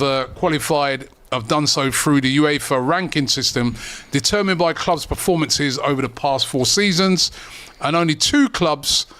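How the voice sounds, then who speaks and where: A man hosting a casual football chat, relaxed and full of fillers. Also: fairly high pitch. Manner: relaxed, lots of fillers and restarts. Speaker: host.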